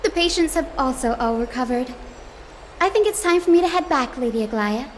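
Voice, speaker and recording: An actress voicing a sweet, eager young lady healer in a video game, with a gentle, polite, bubbly manner. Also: high voice, expressive, a little fast, a little hiss or hum. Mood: kindly, upbeat. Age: young adult. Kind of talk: scripted video game dialogue. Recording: music clearly behind the voice.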